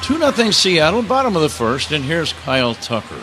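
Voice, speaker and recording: A man's voice: a little high, a little fast, music in the background, poor audio. A man calling baseball play-by-play live on the radio as the announcer. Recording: crowd roar and steady stadium noise. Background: ballpark crowd.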